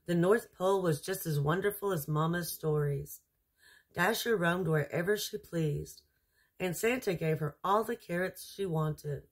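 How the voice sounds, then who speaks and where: A woman reading a children's storybook aloud as a storyteller, in a measured, expressive manner. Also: on the low side, breathy edge.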